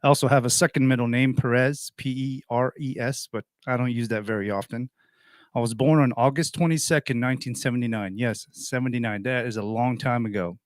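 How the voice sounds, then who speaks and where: A man's voice: mid-range voice, fairly even intonation, a little fast, no echo. A man in his forties from Portugal, a radio host telling his life story in a rambling way, restarting and correcting himself. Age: 40s. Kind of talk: personal storytelling monologue.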